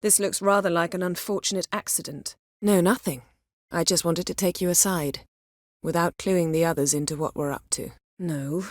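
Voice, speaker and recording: A woman playing a proud, sardonic, commanding sorceress in a video game, speaking in dry, measured, formal phrases. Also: fairly low pitch, dry recording. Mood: dry, haughty.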